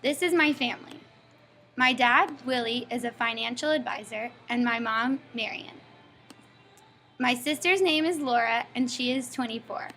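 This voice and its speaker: A woman's voice: fairly high pitch, normal speed, bright, forceful tone. A young woman from Texas reading her school family-history presentation in a scripted recitation. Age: young adult. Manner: scripted recitation with a playful aside. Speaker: student presenter.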